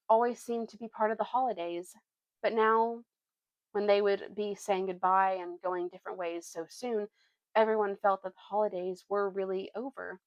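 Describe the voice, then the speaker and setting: A woman storyteller reads a children's book aloud with animation. Her voice sits at an average pitch in a dry recording with very little room sound. She occasionally stumbles on a phrase and corrects it. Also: no room sound, dull audio. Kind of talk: expressive read-aloud narration.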